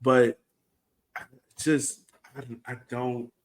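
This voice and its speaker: An American man chats casually about sports as a podcast co-host. His talk is informal and slangy, with a mid-pitched voice, like fans going over a match together. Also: fairly even intonation, slow.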